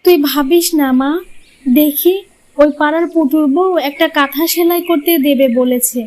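Woman doing poor tired widow mom in a cartoon, high voice, plaintive, loving but doubtful. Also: steady tone, normal speed, darkish tone, a little hiss or hum, close to an everyday voice. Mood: tender, reassuring. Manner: plaintive, tender. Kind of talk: Bengali moral-tale cartoon dubbing.

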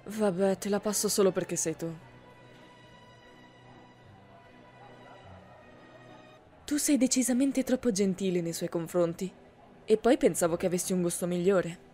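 A woman's voice: medium pitch, brisk, mellow, faint music behind, close to an everyday voice. A young woman playing a kind, chatty, easily flustered heroine in an anime fan audio drama. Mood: teasing.

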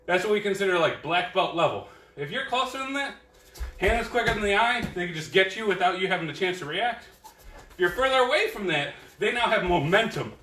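Upbeat karate instructor in echoey dojo. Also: male voice, high voice, sharp tone. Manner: easygoing, joking, counts drills aloud. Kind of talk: online class instruction with counting. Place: dojo training room. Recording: echoey room, voice from far off.

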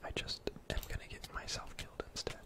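A young man doing whispered ASMR game commentary in a breathy, unvoiced voice, murmuring to himself as he plays indoors. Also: fast. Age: young adult. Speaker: gaming streamer. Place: indoor room at home.

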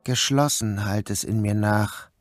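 A man's voice: lowish voice, unhurried, over loud music, natural voice. A man acting a troubled, bewildered son who narrates in a literary first-person radio drama. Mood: dreamlike, brooding. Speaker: narrator and protagonist.

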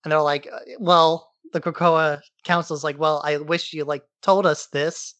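A man's voice: on the high side, flat delivery, unhurried, fairly bright tone. A man co-hosts a podcast with an informal, irreverent way of explaining a story. His voice is recorded close and dry, with no room echo, and the recap sounds casual and conversational. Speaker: podcast co-host.

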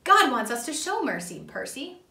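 A woman's voice: high voice, sing-song, normal speed, vocal fry, pushed and bright, echoey room, recorded from a distance, pitched above the performer's own voice, exaggerated voice. A woman voicing a patient, sensible male vegetable police partner in a storybook, speaking earnestly and instructively.

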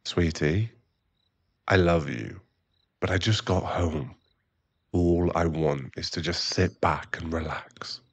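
A man's voice: low voice, slow, pauses often, husky, exaggerated voice. A man playing an irritable, defensive, hard-drinking werewolf boyfriend and expectant father in an ASMR roleplay audio drama, given to sighs and snappish remarks. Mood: weary, defensive.

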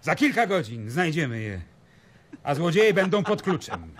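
A stern Roman governor in a radio play. He questions and orders people brusquely, with a raised, slightly husky voice full of authority. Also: fairly high pitch, very animated, normal speed. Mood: stern, commanding. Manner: authoritative, interrogating. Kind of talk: radio drama acting.

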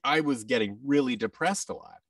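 A man in his thirties with an American accent, chatting casually as an interview guest and telling anecdotes in an expressive way. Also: medium pitch, fairly quick, no room sound, clean studio sound, distant mic. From Seattle, United States. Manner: animated, anecdotal, acts out quoted thoughts. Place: large furnished indoor room, video call. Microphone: boom mic and headset, slightly off-mic.

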